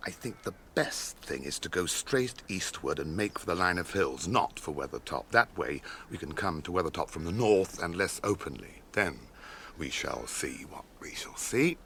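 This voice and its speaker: An actor in a radio drama playing a grave, wary, weathered ranger guide who speaks in a measured, formal way. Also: male voice, low voice, expressive, creaky, fairly bright tone, a little hiss or hum, heavily acted.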